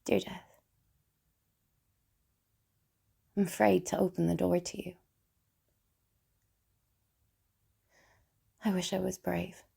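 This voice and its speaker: A woman playing a lonely, wry, broken woman confiding in Death in an intimate, hesitant theatrical monologue, with a husky, breathy voice. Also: on the low side, flat delivery, brisk, dark tone, heavily acted. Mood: wry, melancholy. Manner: confessional, self-deprecating, hesitant. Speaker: writer and performer of a one-woman play.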